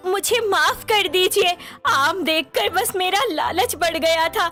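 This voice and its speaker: Woman playing a very high-pitched, headstrong young wife. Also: takes it slowly, pushed and bright, soft background music, full character voice. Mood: apologetic. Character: sulky, headstrong young wife who loves mangoes. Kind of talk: animated moral story dialogue.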